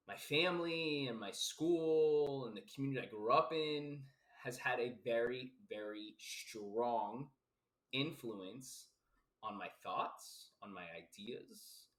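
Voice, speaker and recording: A life coach from South Florida muses aloud in a loose, emphatic podcast monologue, circling back on his points and dropping the occasional curse. His voice is bright and forward at a middling pitch. The room around him rings with a noticeable echo. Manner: emphatic and repetitive, casual swearing, many fillers. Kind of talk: casual philosophical podcast monologue. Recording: roomy echo.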